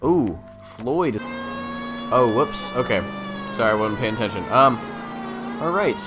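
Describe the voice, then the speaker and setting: A man's voice: mid-range voice, expressive, unhurried. A man giving casual, slangy gameplay commentary, playful and exclaiming, with some swearing. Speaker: gamer doing a video game walkthrough. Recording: game music behind the voice. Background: game music and vehicle sounds.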